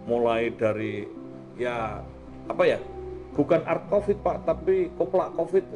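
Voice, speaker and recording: A man's voice: a little high, expressive, a little slow, over music. A man hosting a conversational talk discussion. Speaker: host.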